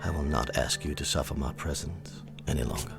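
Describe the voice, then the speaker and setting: A man playing a proud, aristocratic colonel in a radio drama, bitter and brought low, speaking in a formal, halting, pompous way. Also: gravelly. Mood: bitter, humbled. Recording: music playing under the voice.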